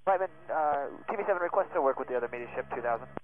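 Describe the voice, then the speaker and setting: A man's voice: medium pitch, expressive, rapid-fire. A man, a media helicopter pilot, making terse requests over aviation radio. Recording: tinny aircraft radio with faint engine noise.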